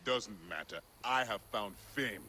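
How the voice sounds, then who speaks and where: A swaggering, drunken charioteer full of old grudges, for a game cutscene. The voice is low, bright and forceful, with big swings in pitch. He taunts and boasts. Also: expressive, a little slow, a little hiss or hum, heavily acted. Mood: taunting. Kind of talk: video game cutscene acting.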